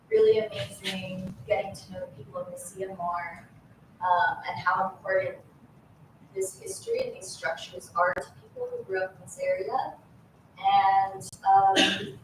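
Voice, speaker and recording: Young new mexican woman, intern talking to the town board, slow and halting, faint noise, far off mic. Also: mid-range voice. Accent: New Mexican English. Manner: halting, many fillers. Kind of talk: nervous public remarks. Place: town meeting hall. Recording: distant echoey meeting-room mic.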